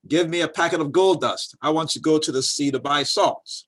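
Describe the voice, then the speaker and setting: A man's voice: a little high, moderate pace, a bit bright, pitched above the performer's own voice. A man voicing an eager, demanding young man, a lazy spendthrift son in a storybook.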